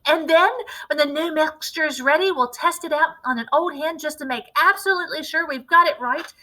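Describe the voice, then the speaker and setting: A woman voicing a wildly excited, impatient, domineering farmer father in a storybook read-aloud, exclamatory and emphatic. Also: high voice, brisk, voice pushed up, low-quality recording. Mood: eager, insistent.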